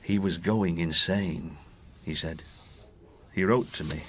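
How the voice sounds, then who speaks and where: An actor in a radio drama playing a candid working man who haltingly recalls a friend's decline. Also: low-pitched, fairly bright tone, husky, exaggerated voice. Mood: haunted, grave. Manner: halting, plain-spoken, reflective.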